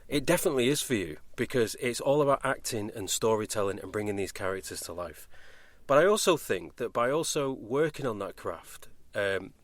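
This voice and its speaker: A man's voice: lowish voice, expressive, fairly quick, few pauses, no room sound. A British man hosting a podcast, chatting casually in an earnest, enthusiastic way. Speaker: podcast host. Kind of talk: casual interview chat.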